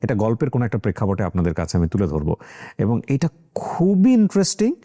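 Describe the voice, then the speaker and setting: Fast-talking, mellow Bangladeshi male host. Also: fairly low pitch, huge pitch swings. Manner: mixes English phrases into speech. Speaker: talk show host. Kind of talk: conversational interview with asides to the audience.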